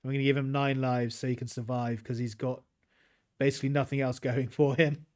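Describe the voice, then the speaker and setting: A British man chats easily through a relaxed game stream, mid-pitched and unhurried. He mulls over choices aloud and turns now and then to answer his viewers. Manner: easygoing, chats with viewers while playing. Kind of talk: relaxed gameplay livestream commentary.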